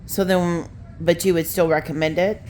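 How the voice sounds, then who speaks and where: Lowish-voiced woman asking casual questions. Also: flat delivery, normal speed, darkish tone, a little hiss or hum. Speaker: off-camera interviewer.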